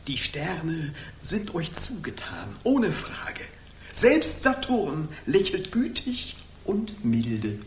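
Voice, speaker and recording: An actress playing a mystical court astrologer in a German radio play, deliberate, flattering and theatrical. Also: female voice, deep voice, a little hiss or hum, exaggerated voice. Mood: soothing, mystical.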